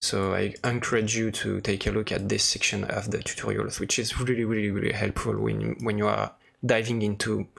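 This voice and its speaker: A male presenter narrates a software tutorial in non-native English. His voice sits fairly low and is very creaky and gravelly. He talks informally, hesitating and pausing often as he demonstrates. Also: level tone. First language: non-native English speaker. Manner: halting, with frequent pauses and hesitations.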